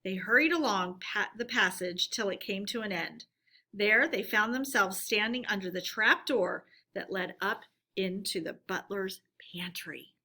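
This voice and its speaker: A woman reading a children's story aloud as an expressive storyteller. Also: medium pitch, unhurried.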